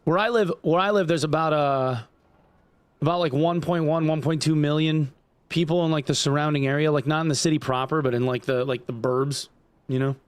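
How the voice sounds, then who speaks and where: A man in his forties chats with his livestream audience while gaming, rambling and swearing freely with plenty of "like" and "dude". His voice sits a little high for a man. He sounds close and dry, with almost no room around him. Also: fairly even intonation, fairly quick, clear, resonant tone, no echo. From upstate New York. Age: 40s. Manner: loose, profane, rambling, heavy on "like" and "dude". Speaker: gaming streamer.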